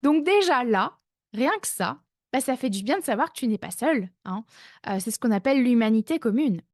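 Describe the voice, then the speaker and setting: A woman's voice: a little high, animated, normal speed, no room sound. Chatty French woman podcaster, close and dry. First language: not English. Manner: chatty, self-mocking, many fillers. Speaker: life coach, podcast host. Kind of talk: casual podcast monologue.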